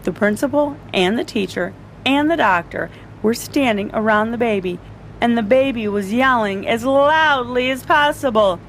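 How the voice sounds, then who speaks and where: A woman reading a children's story aloud in an animated, deliberate storytelling style. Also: on the high side, slight background noise.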